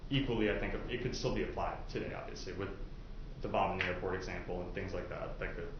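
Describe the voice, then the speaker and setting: A man speaking as a lawyer to prospective jurors, explaining and asking in an informal, halting, conversational way with plenty of hedging. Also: sits low. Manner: halting, conversational, hedging with "I guess" and "you know". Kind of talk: informal courtroom questioning and explaining. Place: indoor courtroom. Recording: echoey courtroom, dull sound, faint noise.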